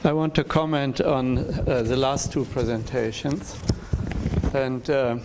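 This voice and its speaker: Measured male professor giving feedback. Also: medium pitch, background noise. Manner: measured, direct, advising. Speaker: professor, discussant. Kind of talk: academic critique at a conference.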